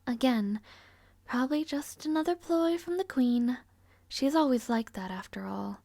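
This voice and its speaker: A woman's voice: sits high, expressive, a little slow, creaky, warm tone, dry recording, exaggerated voice. A woman playing a rude, arrogant but somewhat shy young princess in an audio roleplay, speaking in a formal, languid, world-weary way. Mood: weary, resigned. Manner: haughty, languid, sighing, formal wording. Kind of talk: scripted audio roleplay acting, addressing the listener. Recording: close, dry, no ambience.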